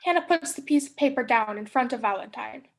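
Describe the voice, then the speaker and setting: High-voiced young woman narrating. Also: lively intonation, brisk, gravelly. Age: young adult. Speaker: stage directions narrator and host. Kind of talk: scripted narration of a play reading.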